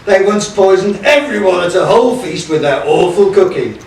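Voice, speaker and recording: Scheming sheriff villain male actor, panto style: high, pompous, gloating; heavy room echo. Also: lively intonation, normal speed. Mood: smug. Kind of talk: pantomime stage acting. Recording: echoey hall, distant mic, faint noise.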